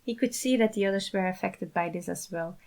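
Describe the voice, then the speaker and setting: A woman's voice: medium pitch, fairly quick, creaky, a little mellow. A woman reading scripted fiction aloud as a storyteller who also does character voices. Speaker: fan fiction writer and reader.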